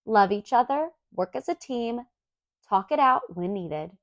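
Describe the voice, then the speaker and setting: A woman reads a children's story aloud in an expressive, clearly enunciated storytelling manner. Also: on the high side, measured pace, dry recording, highs cut off. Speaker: storybook reader.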